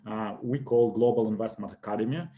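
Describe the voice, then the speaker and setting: A man's voice: on the low side, fairly even intonation, brisk, a little hiss or hum. A middle-aged man from Russia, speaking English with a Russian accent as a second language, giving an investment webinar lecture over slides, explaining steadily and often saying "I presume" and "okay". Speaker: investment course presenter. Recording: slightly dull lo-fi webinar audio.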